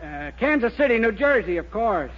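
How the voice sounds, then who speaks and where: An eager, naive young clerk in a 1940s radio comedy, high-pitched and quick with flattery and wisecracks. The old recording is muffled and hissy. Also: male voice. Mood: bluffing, self-important. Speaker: comedy lead actor. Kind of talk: 1940s radio sitcom acting.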